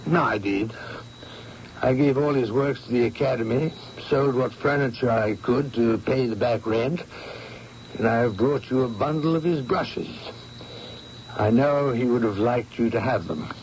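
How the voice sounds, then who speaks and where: A man's voice: mid-range voice, a little slow, a little mellow, natural voice. An actor playing a male artist friend in an old radio drama, breezy and chatty, a little evasive. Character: Max, male artist friend visiting from Paris. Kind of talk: 1970s radio drama acting. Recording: dull old radio broadcast, faint hiss.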